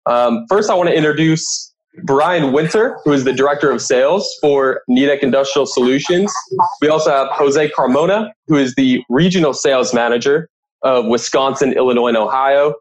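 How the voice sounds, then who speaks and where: A man's voice: medium pitch, normal speed, low-quality recording. A male podcast host with a mid-range voice. He speaks as an interviewer, moving between prepared introductions and conversational questions.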